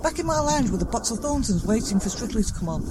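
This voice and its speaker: A woman's voice: on the high side, very animated, fast talker, heavy background noise. A woman playing a wry, grumbling, reluctant sidekick in a comic audio drama. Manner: wry, complaining.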